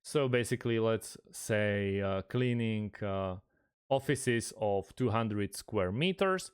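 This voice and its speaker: A man presenting a step-by-step screen tutorial, speaking haltingly with pauses mid-phrase. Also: on the low side, clean tone.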